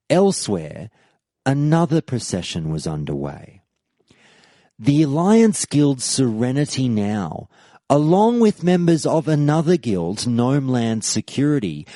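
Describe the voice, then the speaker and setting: A man in his thirties narrating a scripted history of a game event as a podcast host, with measured storytelling. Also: no echo. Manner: measured storytelling with lively pitch swings.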